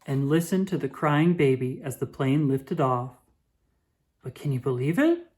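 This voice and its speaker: A woman reading a children's picture book aloud with expressive, drawn-out emphasis. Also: very deep voice. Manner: animated, dramatic emphasis with drawn-out words.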